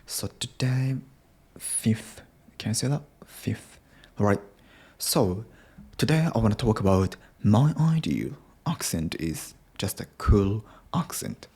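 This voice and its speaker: A Japanese man records a solo daily podcast in English, his accent Japanese over a British base. His voice is low and husky, and it rises and falls a lot. He talks slowly and haltingly, often restarting and repeating his phrases. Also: animated, darkish tone. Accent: Japanese-accented English aiming at British.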